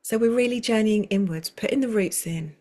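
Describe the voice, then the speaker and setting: A woman's voice: medium pitch, a little fast, darkish tone. A woman, a circle facilitator, speaking as a guest over a video call in a flowing, rambling way with many restarts. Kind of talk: conversational interview.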